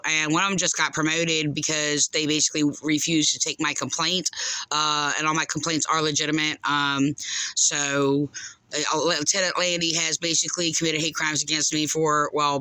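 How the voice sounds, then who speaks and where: A woman caller making a complaint in unscripted speech, aggrieved and insistent, halting and rambling as she goes. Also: low-pitched, little pitch movement, bright, forceful tone, clear, resonant tone, no room sound, low-quality recording.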